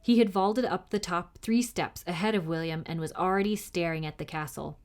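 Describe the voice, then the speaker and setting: A woman's voice: fairly low pitch, fairly quick. A woman reading a children's novel aloud as narrator for a library storytime.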